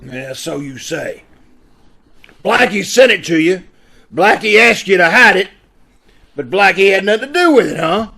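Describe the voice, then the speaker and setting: A man playing a gruff, suspicious police inspector in an old-time radio drama, sardonic and accusing, over a video call. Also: sits high, animated, moderate pace, long pauses, bright, forceful tone, exaggerated voice. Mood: triumphant, accusing. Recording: faintly noisy video call recording.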